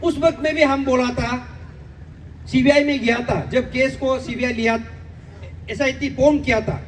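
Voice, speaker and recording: High-pitched Arunachali man addressing a rally. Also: fairly even intonation, moderate pace. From Arunachal Pradesh, India. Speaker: activist speaker at a protest rally. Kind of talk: public address at a rally, Hindi mixed with English. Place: public rally venue, voice picked up from afar. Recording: distant, noisy public address recording.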